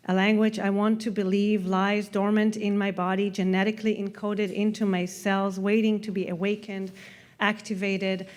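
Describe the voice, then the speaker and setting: Reflective Hebrew-native woman speaker. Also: mid-range voice. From Israel. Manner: reflective, measured reading with soft trailing endings. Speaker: festival speaker. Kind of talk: prepared stage talk. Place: festival stage.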